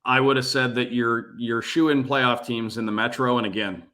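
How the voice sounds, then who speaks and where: A man with an average-pitched speaking voice who calls games on the radio for a living. Here he is a guest on a casual sports podcast, laying out his analysis in long, easygoing stretches. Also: steady tone, moderate pace, bright, forceful tone, a little hiss or hum. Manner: talks at length in long, analytical runs. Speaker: radio play-by-play broadcaster, guest.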